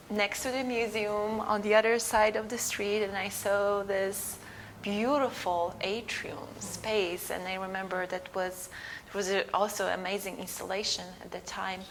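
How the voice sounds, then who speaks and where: A woman's voice: mid-range voice, fairly bright tone, slight background noise, poor audio. A middle-aged woman from Poland, answering interview questions thoughtfully and searching for words. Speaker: guest artist. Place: museum gallery.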